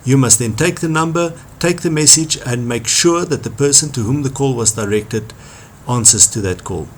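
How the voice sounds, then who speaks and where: A man's voice: medium pitch, moderate pace, slight background noise. A man presenting an instructional talk as a trainer, speaking emphatically and repeating key phrases for stress. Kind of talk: instructional talk on workplace skills.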